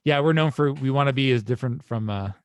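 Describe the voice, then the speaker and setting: A man's voice: medium pitch, fast, smooth. Man hosting a podcast. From Canada. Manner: friendly, many "uh" fillers, jokey asides. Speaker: podcast host. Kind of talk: casual interview hosting.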